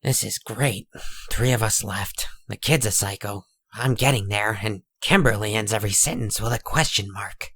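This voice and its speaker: A man playing a cynical, hard-bitten dead man who narrates a comic dub in a husky, world-weary, folksy way. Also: on the low side, normal speed, pushed and bright, no echo. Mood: dryly sardonic.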